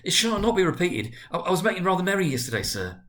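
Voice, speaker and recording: Guy doing a meek little clerk, voice pushed up high, sorry and pleading, very yes-sir, storybook reading. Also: very animated, fast, fairly bright tone. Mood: anxious pleading. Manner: pleading, deferential. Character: timid, apologetic male clerk, meek and pleading.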